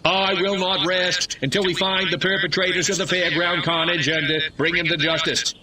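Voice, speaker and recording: Actor as a blustering mayor, pompous, distant, noisy background. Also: male voice, sits high, fairly even intonation, moderate pace, lightly acted. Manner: pompous, speechifying. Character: blustering small-town mayor, middle-aged man, pompous.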